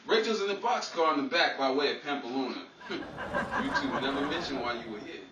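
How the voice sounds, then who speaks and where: A man's voice: mid-range voice, sing-song, fast, lightly acted. A man playing a breezy, wisecracking sleuth who spins tall tales in a hammy 1940s radio-comedy style. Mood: sheepish. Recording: roomy, distant recording with noisy crowd.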